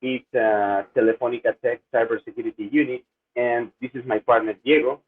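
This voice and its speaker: Ecuadorian male researcher, brisk conference presenter, tinny phone-quality audio. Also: mid-range voice, fairly even intonation, slightly rough. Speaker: security researcher.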